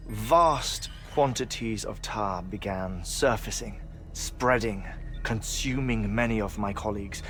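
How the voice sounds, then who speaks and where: Game scientist: middle-aged, eccentric and grieving, low register, reflective articulate delivery, scored with clear music. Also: male voice, a bit bright. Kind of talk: dramatic video game cutscene acting. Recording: music behind the voice.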